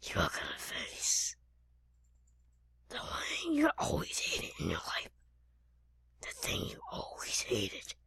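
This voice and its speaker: A woman voicing a menacing, gloating villain in an amateur horror story, with a harsh, hissing rasp and slow threats. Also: a bass voice, wildly swooping pitch, bright, forceful tone, full character voice. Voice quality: harsh, hissing, raspy whisper. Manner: slow, threatening, repeating phrases. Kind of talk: amateur improvised horror play-acting.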